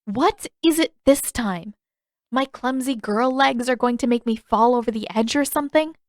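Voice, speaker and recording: Female reader as headstrong teen heroine for fantasy storybook: high-pitched, exasperated, emphatic, quick to argue, dry sound. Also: no room sound, close to an everyday voice. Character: fiery, indignant, defiant teenage girl waterbender. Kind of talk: audiobook-style fan fiction character reading.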